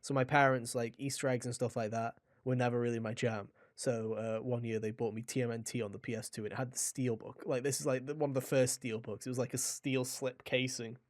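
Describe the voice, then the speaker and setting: A man's voice: mid-range voice, level tone, a little fast, few pauses, no room sound, clean studio sound. A young man co-hosting a casual gaming podcast, telling rambling anecdotes and giving blunt, opinionated takes. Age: young adult. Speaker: podcast host.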